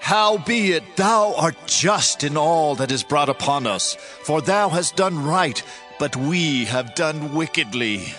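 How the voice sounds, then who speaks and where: A man's voice: a little high, expressive, slow pace, fairly bright tone. A man playing a solemn penitent in a dramatized scripture reading, confessing in a formal, declamatory manner. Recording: studio reading under prominent music.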